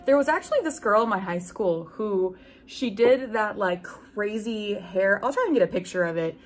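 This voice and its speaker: A young adult woman reading fan fiction aloud with animated, sarcastic casual commentary and free swearing. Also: mid-range voice, moderate pace, soft background music. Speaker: book video host. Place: small room at home with bookshelves.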